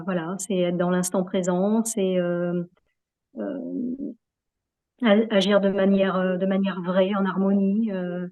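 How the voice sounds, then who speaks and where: A woman's voice: medium pitch, darkish tone. A woman being interviewed in a reflective, conversational way, hesitating often as she searches for her words. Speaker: interview guest, yoga practitioner.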